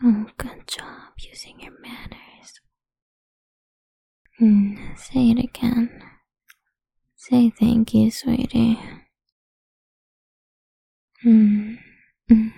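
Woman playing a slow, breathy, domineering lover. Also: on the high side, flat delivery, warm tone, dry recording, full character voice. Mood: possessive, condescending. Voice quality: breathy, whispery. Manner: slow, commanding, alternately cooing and scornful. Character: domineering, possessive, condescending adult female lover. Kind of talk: intimate whispered adult audio roleplay.

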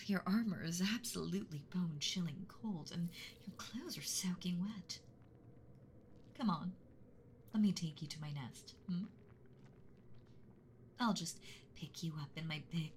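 A woman voicing a gentle, motherly giant female dragon in a whispered roleplay, speaking in a soft, breathy, doting way. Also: medium pitch, slight background noise. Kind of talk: whispered roleplay audio, ASMR.

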